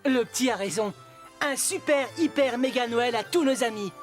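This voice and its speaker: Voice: medium pitch, moderate pace, natural voice. An actor voicing a cocky, good-hearted young male hedgehog hero, upbeat and boastful, in a cartoon dub. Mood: proud. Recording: loud jingling music behind.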